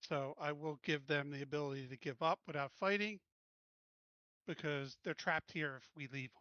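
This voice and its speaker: A man's voice: a little high, fairly even intonation, moderate pace, bright, forceful tone, no echo, muffled recording. A man playing a tabletop game, earnestly reasoning aloud in casual group chat.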